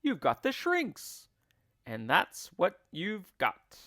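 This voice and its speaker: A man voicing a gleefully cruel, scheming husband in a storybook, taunting with emphatic, drawn-out words. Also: high-pitched, wildly swooping pitch, bright, forceful tone, no echo, clean studio sound, pitched above the performer's own voice, heavily acted. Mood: mocking, loud.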